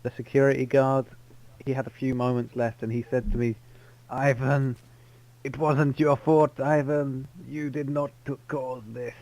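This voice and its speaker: A man's voice: medium pitch, level tone, a little slow, a little mellow, poor audio. Rambling roleplayer, a man who spins a tall tale and keeps fixing his own details. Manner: rambling, self-correcting storyteller. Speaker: roleplay player. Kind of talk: in-character roleplay storytelling. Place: online game voice chat.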